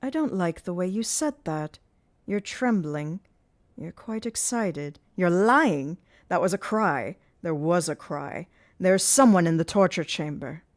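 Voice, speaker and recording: Casting: woman playing a cruel, jealous man, low and mocking, menacing, dry close read. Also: lively intonation, measured pace, no room sound. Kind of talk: audiobook character voice.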